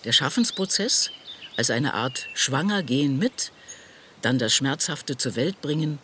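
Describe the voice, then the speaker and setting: A thoughtful, bookish male friend in a radio play, probing and articulate. His mid-pitched voice moves with lively intonation. Faint birds and insects sound in the background. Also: unhurried, slight background noise. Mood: musing, analytical. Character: probing, intellectual friend asking searching questions. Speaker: questioning conversation partner in a radio play. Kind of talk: scripted radio play dialogue.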